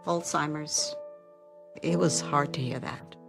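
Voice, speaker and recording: A woman's voice: deep voice, fairly even intonation, slow, vocal fry, exaggerated voice. A woman playing a troubled mother in a scripted testimonial ad about herself. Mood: subdued. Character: troubled mother facing memory loss in an awareness ad. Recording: music behind voice.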